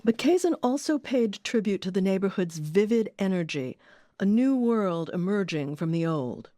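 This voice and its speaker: A woman's voice: medium pitch, animated, normal speed, warm tone, no echo. A woman reading scripted documentary narration as the host of an audio series. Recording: music under the voice.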